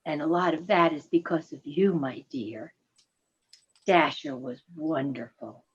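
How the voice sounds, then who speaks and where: A woman playing a gentle, affectionate reindeer wife in a genteel radio play style. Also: low voice, measured pace, a little hiss or hum. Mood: fond, wistful. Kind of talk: reader's theatre radio play acting. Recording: dull, muffled recording.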